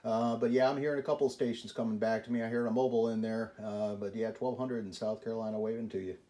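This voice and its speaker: A man's voice: on the low side, fairly even intonation, quick pace, dark tone, slight background noise. A man chatting casually on CB radio in a polite, folksy, exclaiming way. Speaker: CB radio operator. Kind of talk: casual radio contact chat.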